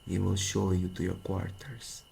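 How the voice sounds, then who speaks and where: An actor playing an ancient, courteous vampire king in an audio drama, speaking formally and solemnly with a gravelly voice. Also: deep voice, flat delivery, dark tone, a little hiss or hum, exaggerated voice. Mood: courtly welcome. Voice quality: gravelly, creaky, breathy at times. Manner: formal, stately, unhurried. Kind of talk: narrative audio roleplay acting.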